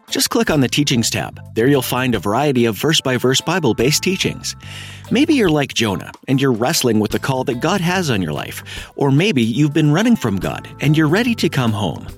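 A man reading a scripted outro announcement for a ministry program, inviting and friendly in tone. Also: mid-range voice. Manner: warm, inviting, read from script.

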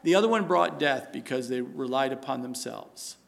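A man preaching a sermon, building to loud emphatic stretches and dropping to a soft prayerful tone. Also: mid-range voice, lively intonation, moderate pace, gravelly.

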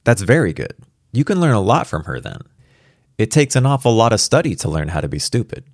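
A man's voice: lowish voice, sing-song, moderate pace, a little mellow, no room sound, clean studio sound, voice pushed up. A man reading the part of an anxious, protective father in a story, speaking in an earnest, cautioning way. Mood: defensive, emphatic. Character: anxious, protective father of a gifted little girl.

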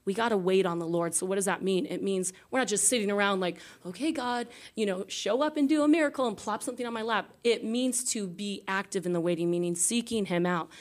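A woman's voice: medium pitch, fairly quick, hardly any pauses. Upbeat woman with a fading voice. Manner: animated, upbeat storyteller. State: losing her voice. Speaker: radio show guest. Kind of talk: faith radio talk.

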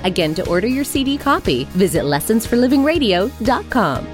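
A woman's voice: mid-range voice, very animated, brisk. A female radio announcer reading a scripted offer for program copies. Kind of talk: scripted promotional read. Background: music bed.

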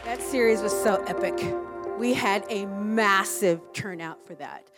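A woman's voice: mid-range voice, measured pace, loud music behind the voice, over a noisy crowd. A woman leading church ministry, talking in a casual, frank way with frequent fillers. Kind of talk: casual chat.

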